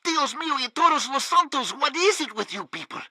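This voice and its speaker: A man's voice: very high voice, animated, brisk, pushed and bright, no echo, studio quality, exaggerated voice. An actor playing a pompous, fanatically loyal male radio news host in a video game, theatrical in delivery. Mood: exasperated, cheerful. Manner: theatrical, bombastic. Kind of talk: satirical radio news broadcast.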